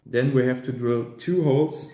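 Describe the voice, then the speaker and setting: A man in his thirties presenting a hands-on DIY tutorial with halting, step-by-step instructions in simple non-native English. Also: mid-range voice, heavy background noise. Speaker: amateur radio hobbyist presenter. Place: hard-walled room indoors at a table. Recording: roomy, echoing indoor sound.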